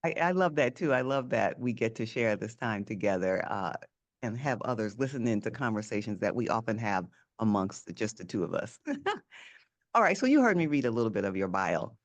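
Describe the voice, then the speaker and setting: A woman's voice: very deep voice, very animated, moderate pace, lo-fi sound. A woman hosting a podcast interview, friendly, often restarting and rephrasing her questions. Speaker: podcast host.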